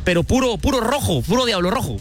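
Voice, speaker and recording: A Guatemalan man doing quick street interviews with football fans for radio, chatty and finishing answers for them. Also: high voice, very animated, faint music behind. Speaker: radio reporter. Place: outdoor fan festival.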